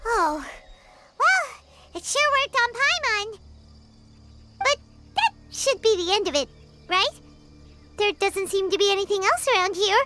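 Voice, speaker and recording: A woman voicing a tiny, childlike, excitable fairy companion in a video game, squeaky and exclamatory. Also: measured pace, long pauses, heavy background noise. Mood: relieved. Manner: exclamatory, speaks of herself in third person. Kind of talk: video game character acting.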